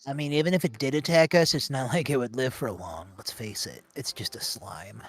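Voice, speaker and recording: A man's voice: mid-range voice, brisk, natural voice. A man voicing a fussy, cautious teenage boy in an amateur game fan dub, slightly nasal and easily exasperated. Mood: dismissive.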